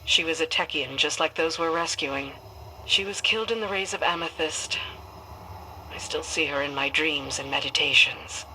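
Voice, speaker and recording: A woman's voice: low-pitched, normal speed, sharp tone, slight background noise, heavily acted, rough recording. A woman playing a loyal, battle-hardened soldier in a video game, earnest and resolute in delivery. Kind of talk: dramatic video game dialogue.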